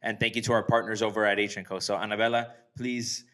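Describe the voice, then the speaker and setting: Male podcast host, lower-pitched, casual and conversational interviewing. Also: level tone, fast talker, pushed and bright.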